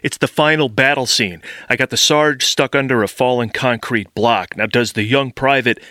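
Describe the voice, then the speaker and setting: An actor playing an anxious, stammering screenwriter caller in an audio comedy sketch. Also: male voice, mid-range voice, moderate pace, bright, forceful tone, no echo, clean studio sound, lightly acted. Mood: frantic, anxious. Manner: stammering, agitated. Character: anxious, blocked male screenwriter calling for help.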